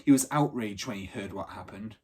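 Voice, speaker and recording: Guy reading a kids adventure book out loud, bit raspy voice, storytime narration. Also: on the low side, a little fast. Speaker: teacher reading a children's book aloud.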